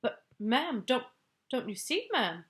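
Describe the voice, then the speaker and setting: A woman's voice: a little high, very animated, takes it slowly, fairly bright tone, voice pushed up, full character voice. A woman voicing a timid middle-aged maid who speaks respectfully and hesitantly. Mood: shaken. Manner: respectful, hesitant, repeats "ma'am".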